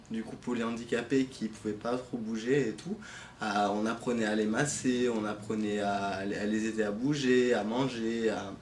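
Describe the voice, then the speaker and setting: A man's voice: medium pitch, a little mellow, roomy sound, a little hiss or hum. A young man, a former volunteer, telling his experience in a casual testimonial, hesitant with many restarts.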